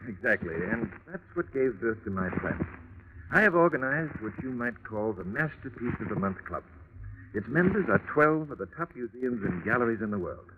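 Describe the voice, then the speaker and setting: An actor playing an earnest, long-winded gentleman art organizer, speaking formally and self-importantly in 1940s radio drama style. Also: medium pitch, expressive, moderate pace, lightly acted. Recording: tinny, noisy vintage radio recording.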